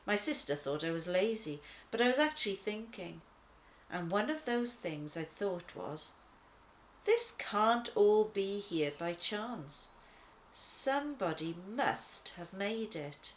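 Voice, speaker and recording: Woman as a musing lion storyteller, slow, animated. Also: mid-range voice, slight background noise, rough recording. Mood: curious, wry. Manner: wry, questioning. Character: thoughtful, curious lion telling its story. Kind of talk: first-person children's storybook narration.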